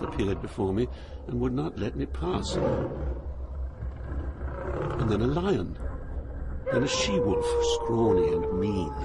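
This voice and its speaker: An actor playing a reflective pilgrim poet, narrating his journey in measured, solemn phrases for an audio drama. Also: mid-range voice, heavily acted. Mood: somber. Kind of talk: dramatized scripted first-person narration. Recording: clear background noise, ambience.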